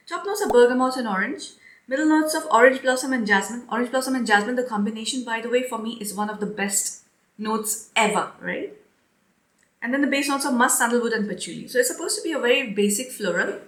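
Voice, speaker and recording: A young woman chatting casually to her viewers as a perfume reviewer, rambling and laughing often, her voice slightly hoarse with a stuffy nose. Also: a little high, fairly bright tone. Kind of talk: casual chat to camera. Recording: echoey room.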